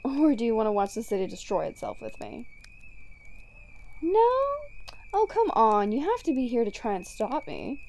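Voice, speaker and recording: A sardonic female supervillain, acted by a woman in an audio roleplay, with a fairly high voice that stays soft and mellow. She taunts a hero in a teasing drawl, smug and casually foul-mouthed. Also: big pitch swings, moderate pace, long pauses. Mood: taunting, incredulous. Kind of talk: ASMR roleplay audio drama monologue.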